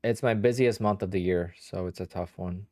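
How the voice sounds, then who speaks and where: A man's voice: deep voice, normal speed, darkish tone, no room sound, studio quality. A man in his thirties from Poland with a Polish accent, chatting casually on a live trading stream and answering viewers, sounding tired and sleepy. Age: 30s. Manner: chatty, answers viewer questions with many "like"s and "you know"s. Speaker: day trader streaming live.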